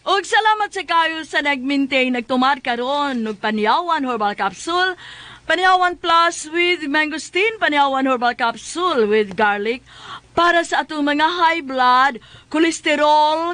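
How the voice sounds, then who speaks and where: A woman's voice: high voice, sharp tone, no room sound. A middle-aged woman with a youthful-sounding voice and a Visayan Filipino accent, co-hosting a radio programme. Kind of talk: chatty greetings and live sponsor reads.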